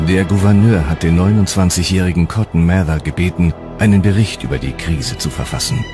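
A man narrating a historical documentary from a script in a measured, serious way. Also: low-pitched. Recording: music underneath.

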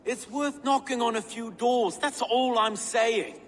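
An actor playing an earnest, anxious medieval official in a game cutscene, speaking expressively and eloquently. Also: male voice, extremely high pitch, measured pace. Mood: troubled, urgent. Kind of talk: dramatic game cutscene acting. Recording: reverberant hall, faint ambient noise.

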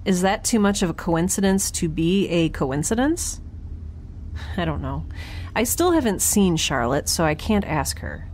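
Actress as quirky young woman scientist logging her days in space, lowish voice, rambly and wry, ambient noise behind her. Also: big pitch swings, measured pace, a little mellow. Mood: wry, chatty. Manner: chatty, wry, self-interrupting, informal. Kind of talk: audio drama, first-person spoken log.

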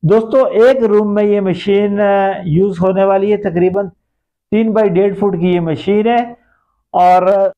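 A middle-aged man with a Pakistani accent speaks as a video host, explaining an idea step by step to his viewers. His voice sits high for a man. The recording is dull and lo-fi, made indoors. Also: fairly even intonation, a little slow. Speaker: YouTube host. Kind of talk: explanatory business-idea vlog addressed to viewers. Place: small plain indoor room.

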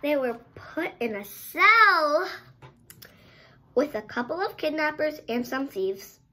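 Bright high-voiced young girl reading aloud. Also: lively intonation, a little hiss or hum. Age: child, around 8 to 10. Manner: dramatic, drawn-out emphasis with stumbles over words. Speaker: child presenter reading a picture book aloud. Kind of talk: read-aloud storytelling. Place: bedroom, indoors.

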